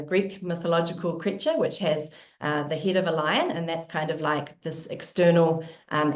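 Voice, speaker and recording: NZ woman research lead, low and steady, explaining step by step, echoey video-call sound. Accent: New Zealand. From New Zealand. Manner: clear explanatory, with frequent ums. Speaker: research team leader presenting. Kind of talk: webinar science presentation.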